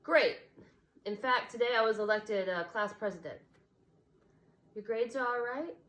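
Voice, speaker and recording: A woman voicing a defensive schoolboy who talks quickly and insistently in a read-aloud. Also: on the high side, a bit bright, reverberant, voice pushed up. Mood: boastful.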